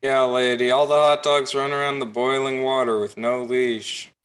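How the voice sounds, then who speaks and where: A man's voice: mid-range voice, flat delivery, moderate pace, a bit bright, close to an everyday voice. An actor playing a curt, sarcastic male hot dog stand worker in a sitcom table read on a video call.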